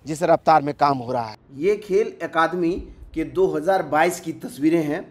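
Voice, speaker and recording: High-voiced man giving a site report. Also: moderate pace, dry recording. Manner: conversational, repeats points, frequent restarts. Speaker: local video reporter.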